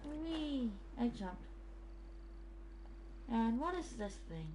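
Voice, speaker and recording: A woman's voice: on the high side, lively intonation, very slow, a little mellow, a little hiss or hum. A woman giving casual commentary as she streams a video game. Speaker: gaming streamer.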